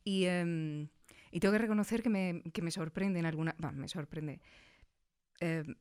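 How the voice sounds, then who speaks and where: A woman's voice: lowish voice, normal speed, darkish tone, clean tone. A woman with an accent from Spain, interviewing in a conversational podcast style. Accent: Spanish from Spain.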